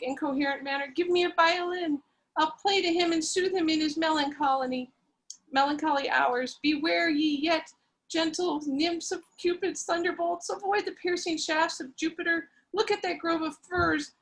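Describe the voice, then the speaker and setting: Theatrical high-voiced woman narrator. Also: rough recording. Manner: theatrical, breathless melodrama. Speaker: narrator and lead reader. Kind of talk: amateur dramatic reading over video call. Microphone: video call.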